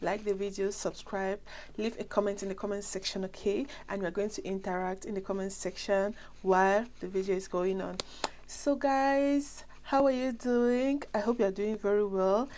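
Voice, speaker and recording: A woman's voice: medium pitch, fairly even intonation, normal speed, darkish tone, slight background noise. A young woman with a Cameroonian accent who has a flu, chatting casually and ramblingly as she addresses her viewers directly. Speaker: vlogger.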